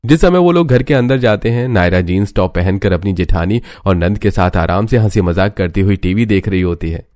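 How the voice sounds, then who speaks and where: A man's voice: sits low, expressive, brisk, few pauses, darkish tone, no room sound, clean studio sound. A man reading a scripted family story as narrator. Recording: music bed under the voice.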